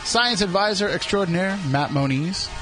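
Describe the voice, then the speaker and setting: A man's voice: high-pitched, lively intonation, normal speed, music in the background, low-quality recording. A man from New England hosting a radio show, bantering and teasing his co-hosts in a studio. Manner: joking, chatty, teasing co-hosts.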